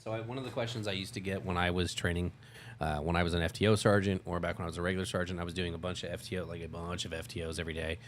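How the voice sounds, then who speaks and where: A man with a very deep voice talks informally as a co-host on a workplace show. He explains procedures in an unscripted, conversational way. There is clear noise and room ambience behind him. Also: steady tone, racing. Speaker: co-host, security company lieutenant. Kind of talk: casual unscripted work talk show.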